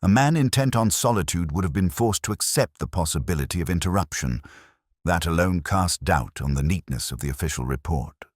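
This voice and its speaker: Very deep-voiced brooding male narrator. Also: animated, no echo, clean studio sound. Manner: measured, literary, brooding. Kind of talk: first-person detective audio drama narration.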